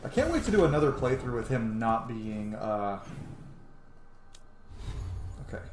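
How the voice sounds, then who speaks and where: A man talks through his gameplay in a loose, informal way, muttering asides to himself. His mid-pitched voice sits in a roomy space with faint noise behind it. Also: big pitch swings, measured pace. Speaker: gaming streamer, let's-play host. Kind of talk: casual gameplay commentary.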